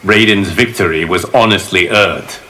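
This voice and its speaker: A man playing a calm, wise fire god and martial arts mentor in a video game, speaking formally and gravely. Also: deep voice, normal speed, heavy background noise, lightly acted. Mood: solemn, measured. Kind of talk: video game character dialogue.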